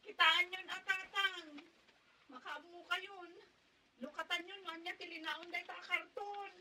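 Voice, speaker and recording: Sad withdrawn young filipino woman, super high plaintive voice, radio drama. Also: steady tone, moderate pace, sharp tone, a little hiss or hum, exaggerated voice, poor audio.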